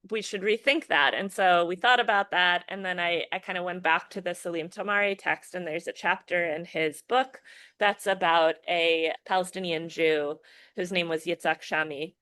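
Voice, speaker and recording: A woman's voice: on the low side, a bit bright, clean tone, no echo. A woman filmmaker talking through her work in a casual podcast interview, thoughtful and full of restarts and fillers. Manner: thoughtful, restarts often, many fillers like "you know". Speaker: filmmaker and educator guest.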